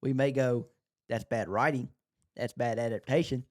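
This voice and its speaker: Guy chatting on a podcast, matter of fact, medium pitch, really dry sound. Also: steady tone, moderate pace, dry recording. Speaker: podcast co-host. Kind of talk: casual chat about books and shows.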